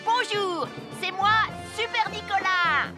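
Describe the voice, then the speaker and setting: A woman's voice: extremely high pitch, slow pace, loud music behind the voice. A woman voicing a cocky, mischievous little boy in a children's cartoon dub, with a boastful, theatrical delivery.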